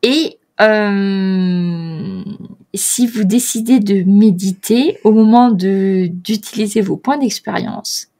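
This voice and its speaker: A woman's voice: mid-range voice, takes it slowly, dark tone. A woman running a tabletop role-playing game as game master, often hesitating with fillers and restarts.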